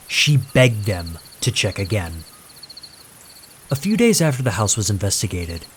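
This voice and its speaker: A man narrating a scripted horror story in a suspenseful, deliberate manner with dramatic pauses. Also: on the low side, slight background noise.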